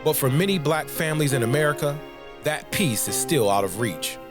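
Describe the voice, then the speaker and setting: A male podcast host giving scripted narration in a measured, emphatic way before he interviews his guests. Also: on the high side, expressive, music in the background.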